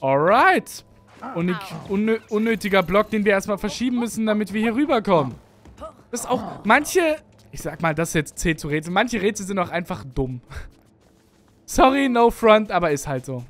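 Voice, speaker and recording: A man's voice: high voice, expressive, normal speed, fairly bright tone. A young man with a Berlin accent, chatting casually as he plays through a video game for his channel. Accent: Berlin German. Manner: chatty, rambling, self-mocking. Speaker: let's play streamer. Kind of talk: casual gameplay commentary. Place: home gaming setup, indoors. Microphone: studio mic on arm, headphones.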